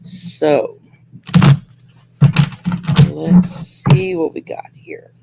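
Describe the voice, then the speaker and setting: A middle-aged woman with a growly, gravelly voice from throat surgery, chatting casually on a livestream while pulling tarot cards, rambling and restarting often. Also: sits low, fairly even intonation, extremely slow, heavy background noise. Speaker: host and tarot card reader. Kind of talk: casual livestream chat with card reading.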